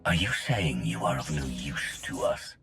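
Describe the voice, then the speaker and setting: A haughty galactic dark lord for a video game. The voice is extremely deep yet swings expressively, curt and threatening, over faint music. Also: male voice, sing-song, measured pace, full character voice.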